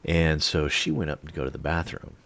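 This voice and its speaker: A man in his late thirties, an animated storyteller who swears freely, telling a personal story straight to the mic. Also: rumbling low, brisk, dry recording. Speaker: channel host. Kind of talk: storytime monologue.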